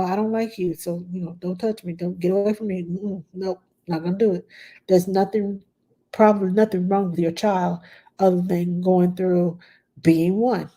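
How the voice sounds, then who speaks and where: A woman's voice: mid-range voice, warm tone. A middle-aged woman with a stuffed-up nose, chatting plainly and bluntly as a nanny answering viewer questions on a live stream.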